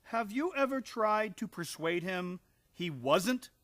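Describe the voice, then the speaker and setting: An actor playing a polite, mild, bewildered minister in an old-time radio comedy, courteous and hesitant. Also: male voice, high voice, lively intonation, slow, fairly bright tone, full character voice. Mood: courteous, puzzled.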